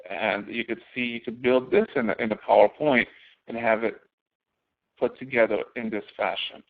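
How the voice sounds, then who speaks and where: A man giving an instructional walkthrough as a course instructor, explaining step by step and posing questions that he then answers, in a gravelly voice. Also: medium pitch, steady tone, normal speed. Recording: narrow, phone-like audio.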